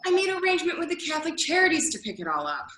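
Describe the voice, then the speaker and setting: A woman playing a brisk, exasperated adult daughter in a staged reading, speaking in a hurried, bossy, emphatic way. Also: very high voice, wildly swooping pitch, roomy sound, a crowd in the background, heavily acted. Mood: harried, exasperated. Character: brisk, exasperated adult daughter managing her mother's move. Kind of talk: staged reading of a comic drama sketch.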